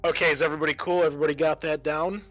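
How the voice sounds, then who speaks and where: A man hosting as game master, narrating a tabletop roleplaying game in a halting, conversational way. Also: fairly high pitch, flat delivery, fairly bright tone, dry recording, faint music behind.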